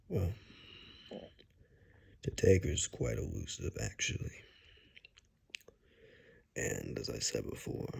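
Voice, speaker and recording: A man playing a gruff, wry forest ranger and monster hunter in an ASMR roleplay, speaking in a hushed, halting way with dry humor. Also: exaggerated voice. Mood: wry, relaxed. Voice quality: very deep, gravelly, husky. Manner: slow, halting, hushed, dryly joking. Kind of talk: ASMR roleplay, one-sided conversation.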